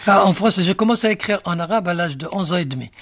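An older male writer whose first language is Arabic, musing slowly in an interview with many fillers and restarts. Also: high-pitched, lively intonation, fairly bright tone, no room sound, dull audio. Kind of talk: reflective interview answers.

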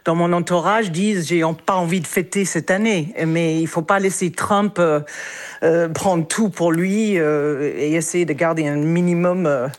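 An older woman calling in to a radio show, speaking haltingly with lots of fillers. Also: on the low side, steady tone. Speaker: caller. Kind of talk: phone-in conversation.

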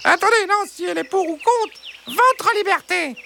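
Woman as a very high, creaky, flustered hen. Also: animated, normal speed, bright, forceful tone, slight background noise, full character voice. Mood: confused. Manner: dithering, stammering, colloquial. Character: flustered, wary hens debating in a henhouse.

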